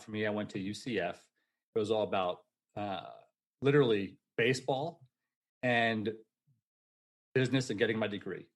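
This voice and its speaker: A forty-year-old man being interviewed on a podcast, telling his story casually and energetically and laughing easily. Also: on the low side. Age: 40s. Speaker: podcast guest.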